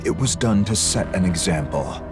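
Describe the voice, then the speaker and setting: A man playing a grim, coldly pragmatic hunter in a video game, speaking in a measured, formal, stern way. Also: low-pitched, expressive. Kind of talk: dramatic video game voice acting. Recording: loud music under the voice.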